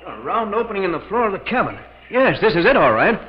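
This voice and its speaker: A dull, reverberant vintage radio recording carries a scheming, cold-blooded male killer. His fairly high voice swings theatrically, urgent and full of menace. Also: big pitch swings, fast talker. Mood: feigned casualness. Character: scheming, cold-blooded murderous man plotting with his lover. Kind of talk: 1940s radio thriller acting.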